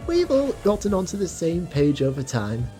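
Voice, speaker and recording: A woman voicing an earnest, excitable male steam engine in a children's story, speaking emphatically. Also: lowish voice, moderate pace, soft background music. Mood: cheerful.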